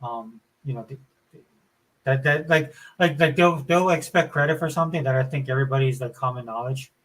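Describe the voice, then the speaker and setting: A man with a mid-pitched, slightly whiny voice chats informally. His delivery is loose and conversational, sprinkled with like and dude. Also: a little fast, a bit bright, poor audio. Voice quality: somewhat whiny. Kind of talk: casual chat.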